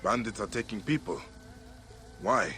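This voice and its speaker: An actor playing a grave, driven warrior investigator of ancient Egypt in a video game, terse and solemn. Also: male voice, lowish voice, expressive, a little slow, bright, forceful tone, faint music behind. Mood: grave, probing. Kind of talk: dramatic video game cutscene acting.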